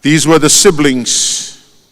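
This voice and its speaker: Tongan-accented male eulogist, solemn and deliberate, reverberant church hall. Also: fairly high pitch, fairly even intonation, fairly bright tone. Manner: solemn and measured, lifting his voice on each name. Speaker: eulogist at a funeral. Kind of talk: formal funeral eulogy.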